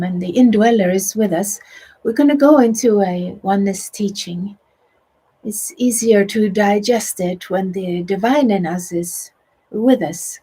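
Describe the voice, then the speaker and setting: A middle-aged woman with a Swedish accent, whose first language is Swedish, leading a guided meditation and spiritual teaching in a soft, soothing voice that often sinks to a whisper. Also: mid-range voice, husky, poor audio.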